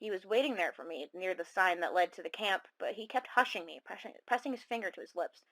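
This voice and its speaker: A woman's voice: mid-range voice, quick pace, fairly bright tone, natural voice, low-quality recording. A woman playing a self-conscious, rueful caller who tells her eerie story in a confiding, slightly rambling way. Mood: reflective, uneasy. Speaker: caller on a horror radio show. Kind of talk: conversational dramatic monologue.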